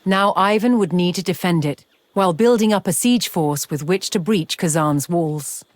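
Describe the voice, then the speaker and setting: A woman reading scripted historical narration for a strategy game, in a measured, dramatic storytelling delivery. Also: fairly low pitch, dry recording. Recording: music under the voice. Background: background music.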